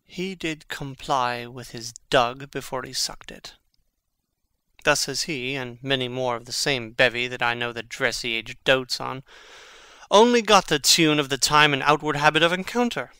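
An actor playing Hamlet, a brooding, witty young prince, in a measured, theatrical Shakespearean reading. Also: male voice, medium pitch, bright, forceful tone, clear, resonant tone, no room sound. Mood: wry, scornful. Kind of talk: dramatic reading of Shakespearean verse.

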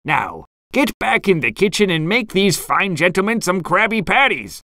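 A woman doing a gruff, blustery crab boss. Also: low-pitched, very animated, unhurried, pushed and bright, no room sound, clean studio sound, pitched above the performer's own voice, full character voice. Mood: bossy. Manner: piratical, gruff, bellowing. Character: blustery, money-loving old male crab restaurant boss. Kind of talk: children's storybook character voice.

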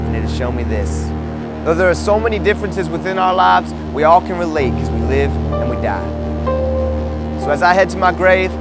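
Earnest young man performing spoken word. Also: high voice. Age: young adult. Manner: rhythmic rhyming delivery, earnest and urgent. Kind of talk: spoken word poetry over music. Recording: voice-over over loud music.

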